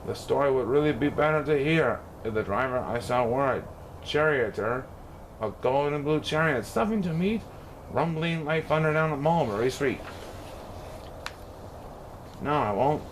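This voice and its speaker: A man reading a rhyming children's storybook aloud in a theatrical, expressive way. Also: mid-range voice, moderate pace, slight background noise, rough recording. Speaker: storybook reader.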